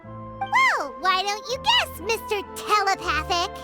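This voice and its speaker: A woman voicing a tiny, cheeky, childlike female companion sprite in a video game, squeaky, curious and full of exclamation. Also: huge pitch swings, slow, full character voice. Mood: cheeky, playful. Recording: loud music behind.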